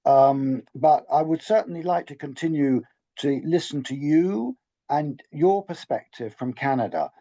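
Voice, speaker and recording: A man's voice: a little high, unhurried, warm tone. Courteous man on dull lo-fi audio. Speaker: discussion participant. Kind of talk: casual group discussion.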